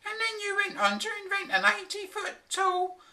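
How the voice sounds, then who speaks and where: A shrill scolding wife. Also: female voice, steady tone, normal speed, pushed and bright, heavily acted. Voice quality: very high, shrill. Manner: mocking, scornful.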